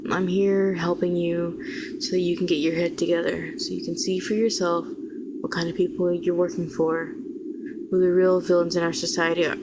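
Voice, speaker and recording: A woman acts out a fallen heroine turned telepathic villain in a dramatic audio roleplay. Her voice sits a little low and stays very level in pitch. She is bitter, weary and wry, putting pointed questions to the listener over clearly audible background noise. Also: natural voice. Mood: insistent, defensive. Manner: deliberate, sardonic, rhetorical questioning, condescending endearments.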